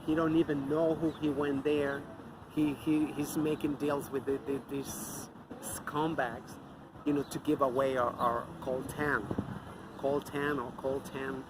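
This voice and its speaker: A man's voice: mid-range voice, level tone, measured pace, background noise. Angry man ranting outdoors. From Mexico. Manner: angry, profane, scornful. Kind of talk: off-the-cuff ranting monologue.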